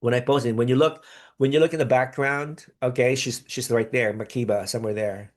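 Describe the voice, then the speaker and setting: A man's voice: mid-range voice, steady tone, fairly quick. Man, born in the Philippines, live-stream host, rambling, upbeat. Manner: chatty and rambling, many restarts, upbeat bursts. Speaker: podcast host reacting live to a pageant. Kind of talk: live-stream reaction chat reading viewer comments.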